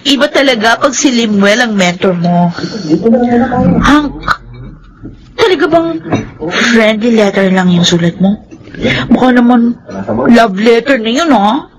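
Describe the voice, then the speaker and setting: A woman's voice: fairly high pitch, animated, measured pace, pauses often, crowd noise, poor audio. An actress with a Filipino accent playing a playful, forward young woman coaxing a shy suitor in a radio drama. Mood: teasing, bubbly. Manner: playful, coaxing, teasing questions.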